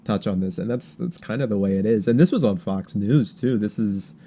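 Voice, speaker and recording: A man hosting a podcast with casual political commentary, talking in a halting, rambling way with stammered repeats. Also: on the low side, mellow, a little hiss or hum. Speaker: podcast host.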